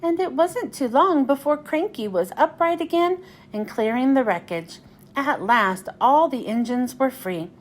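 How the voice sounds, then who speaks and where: A woman reading a children's storybook aloud as narrator, in an expressive, deliberate way. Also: high voice. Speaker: children's book read-aloud host.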